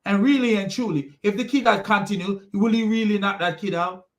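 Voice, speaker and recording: A man's voice: high-pitched, fairly even intonation, fairly quick. A Jamaican man ranting in an online commentary video, mocking and scornful, rambling with many restarts. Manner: mocking and scornful, with repeated insults. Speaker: religious commentary video host.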